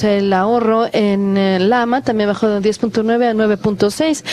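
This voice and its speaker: A woman interpreting a technical conference talk live, speaking haltingly with many pauses and restarts. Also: on the high side, darkish tone.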